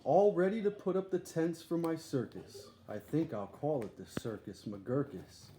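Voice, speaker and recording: Guy doing a boastful kid ringmaster from a picture book, big showy barking, mellow voice, echoey room. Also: on the high side, unhurried, voice pushed up, natural voice. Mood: grandiose, excited. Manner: grandiose ringmaster barking, emphatic lists. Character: eager boastful young boy circus showman. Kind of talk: storybook read-aloud character. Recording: echoey room, faint background noise.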